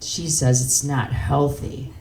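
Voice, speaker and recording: A woman's voice: extremely low pitch, steady tone, slow pace, vocal fry, darkish tone, heavily acted. A woman voicing a self-righteous teenage boy working a shop counter, stiff and judgmental, in a comic story. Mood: prim, judgmental. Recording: roomy, distant mic, faint noise.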